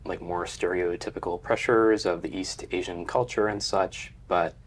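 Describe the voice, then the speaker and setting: A man hosting a casual student discussion, asking thoughtful questions and letting his sentences trail off softly. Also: sits low, fairly even intonation, normal speed, breathy edge. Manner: thoughtful, trails into quiet whispery endings. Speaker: host. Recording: faint background noise.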